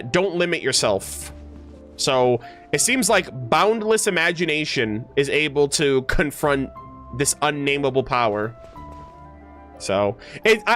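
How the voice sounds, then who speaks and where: A man's voice: a little high, fairly bright tone. A man streaming a game, reading text aloud and breaking it down casually in gamer slang with emphatic restatements. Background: background music.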